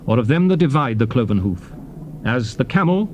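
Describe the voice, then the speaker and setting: A man delivers a solemn dramatic scripture reading in a medium-pitched voice. He is stately and emphatic, setting out short measured phrases with very lively intonation. A faint hiss of old cassette tape runs behind him. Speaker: narrator of a dramatized Bible reading.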